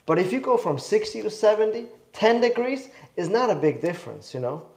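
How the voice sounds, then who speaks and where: A man's voice: high voice, expressive, a little fast, creaky, a little mellow, reverberant. A young man from Switzerland with a slight cough, eagerly teaching in a live studio demo, rambling and correcting himself. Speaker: bonsai demo host. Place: indoor studio.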